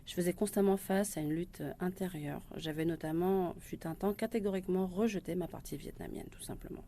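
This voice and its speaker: A woman's voice: lowish voice, a little fast, dark tone, a little hiss or hum. A woman in her thirties from France, telling her own story in a reflective way with some hesitations. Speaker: interviewee. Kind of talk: personal testimony.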